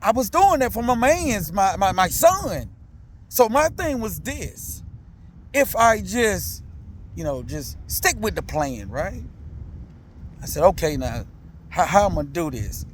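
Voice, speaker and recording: A man's voice: high-pitched, unhurried, a little hiss or hum. A father in his thirties or older, telling his own story in candid, slangy talk, repeating himself and asking listeners if they follow. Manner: animated, repetitive, slangy storytelling with many asides to listeners.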